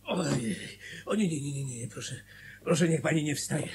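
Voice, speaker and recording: An actor playing an embittered, vengeful foreign traveller with sly politeness in a radio drama. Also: male voice, a little high, animated, moderate pace, gravelly, very noisy. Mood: fussily polite.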